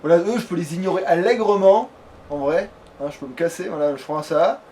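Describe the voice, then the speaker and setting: A man's voice: high voice, brisk, warm tone, a little hiss or hum. A man chatting casually as he plays a video game, with crude slang and frequent swearing. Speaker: let's player at the controls. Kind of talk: casual gaming chat while playing.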